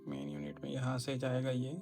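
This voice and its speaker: A man's voice: medium pitch, very animated, fairly quick, vocal fry, loud music behind the voice. Man giving a casual step-by-step tutorial. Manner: step-by-step explaining, giving tips. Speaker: motorcycle vlogger. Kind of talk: casual hands-on installation tutorial.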